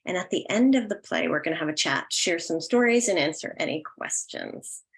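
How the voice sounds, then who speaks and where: A woman's voice: on the low side, expressive, moderate pace, creaky. A woman hosting an online event in a casual, instructional way. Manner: informal, instructional. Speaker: host.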